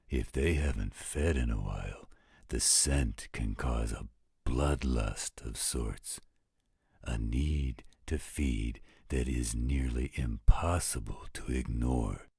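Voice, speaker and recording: An actor playing an overprotective, fatherly werewolf guardian in an audio roleplay, with a gravelly, husky voice and a careful, measured delivery. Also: rumbling low, a little mellow, no room sound, studio quality, full character voice. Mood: earnest, awkward. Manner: slow, measured, careful explaining.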